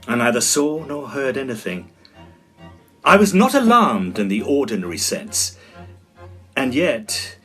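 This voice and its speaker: A man playing a genteel Edwardian ghost hunter in an audio drama, telling his tale formally and expressively. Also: medium pitch. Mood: uneasy. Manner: formal, expressive, old-fashioned phrasing. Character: genteel Edwardian gentleman ghost hunter, sociable, easily shaken. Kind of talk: scripted first-person storytelling, period audio drama.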